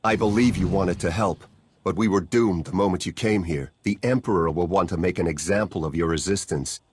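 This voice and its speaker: A weary, pleading refugee. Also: male voice, deep voice, a little fast, darkish tone, slightly rough. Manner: earnest, pleading. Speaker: refugee leader. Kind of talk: video game cutscene acting.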